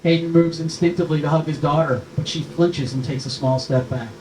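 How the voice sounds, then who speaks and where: A man's voice: fairly high pitch, steady tone, fairly quick, darkish tone. A man reading the scripted action and stage directions aloud as narrator at a screenplay table read. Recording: echoey room with faint noise.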